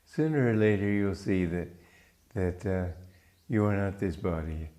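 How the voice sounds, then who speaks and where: An older man from Romania with a mixed English-American accent, giving a calm spiritual talk with stories. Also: deep voice. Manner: calm, measured, storytelling. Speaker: spiritual teacher.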